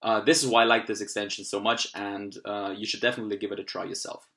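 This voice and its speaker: A young Austrian man with a native German accent walks through a software tutorial at his computer. His bright, forward voice stays upbeat and explanatory, with a few fillers as he clicks through each step. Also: on the low side, little pitch movement, fast talker. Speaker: language learning tutorial host. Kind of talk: screen-recorded software tutorial.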